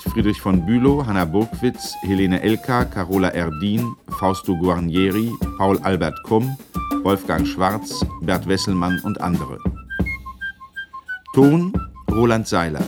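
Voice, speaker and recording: An actor as a formal announcer reading out the credits of a German radio drama. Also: male voice, deep voice, expressive, measured pace, over music.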